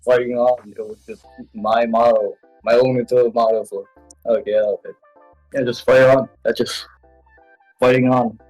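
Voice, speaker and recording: A man answering casually as an interview guest, halting and repeating short phrases as he goes. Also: mid-range voice, little pitch movement, darkish tone, rough recording. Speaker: interview guest, web series creator. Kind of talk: casual chat. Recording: music playing behind. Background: music.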